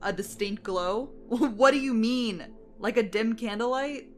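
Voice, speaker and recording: A woman's voice: a little high, unhurried, soft background music, natural voice. A woman playing a sardonic, haughty, impatient canine thief, mocking and scornful, in a dramatised fantasy audio story. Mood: scoffing.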